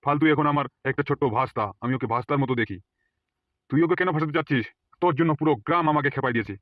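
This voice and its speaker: A man's voice: a little high, quick pace, dry recording, phone-quality sound, lightly acted. A man voicing a boastful, threatening village gang leader in a comic cartoon, taunting with rhetorical questions. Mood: accusing, menacing.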